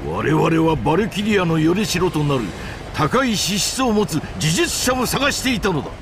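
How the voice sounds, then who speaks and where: A pompous male imperial general, a fanatical video game villain. The voice is gravelly and creaky, swinging theatrically in pitch with haughty, old-fashioned grandeur, over clear background noise. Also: big pitch swings, moderate pace. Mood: gloating. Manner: pompous, archaic grand phrasing. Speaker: voice actor.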